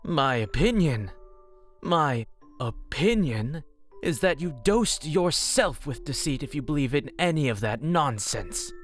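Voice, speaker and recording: A sardonic cookie trickster from a cartoon fan drama, played by a man, with a fairly high voice. He is haughty and theatrical, dripping scorn and sarcasm over light background music. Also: animated, measured pace. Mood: disdainful. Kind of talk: scripted fan audio drama acting.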